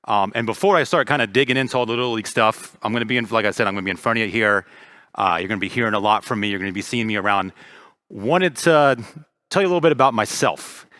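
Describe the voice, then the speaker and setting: A man's voice: fairly low pitch, fast talker, bright, forceful tone. A man in his thirties from Florida, giving a casual, friendly talk to parents as league president, with lots of ums and uhs, on a handheld mic in a church hall. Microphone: handheld microphone.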